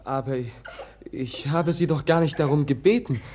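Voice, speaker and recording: An actor playing a gentle, guileless prince in a German radio drama, speaking in an earnest, polite, halting way. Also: male voice, on the high side, background noise, close to an everyday voice. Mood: flustered, earnest. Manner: hesitant, stammering, earnest, polite.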